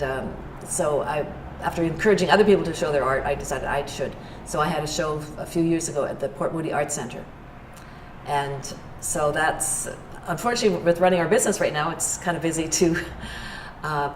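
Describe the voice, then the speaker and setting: Older woman, low and unhurried. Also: a little hiss or hum. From Edmonton, Canada. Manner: thoughtful, unhurried, searching for words. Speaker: arts venue owner. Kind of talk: interview answers. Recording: roomy sound, distant mic, background music.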